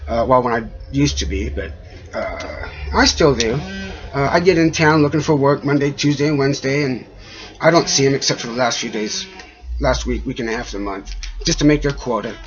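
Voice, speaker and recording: A man speaking in a halting, aggrieved way, rambling through a personal complaint with a husky voice. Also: mid-range voice. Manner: halting, frequent trailing pauses, aggrieved. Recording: dull lo-fi audio.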